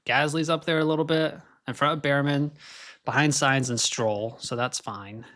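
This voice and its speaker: A man's voice: mid-range voice, fairly even intonation, moderate pace, a bit bright. A young man with an American accent, born in Scotland, hosting a casual live stream and chatting while he watches qualifying. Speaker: livestream host.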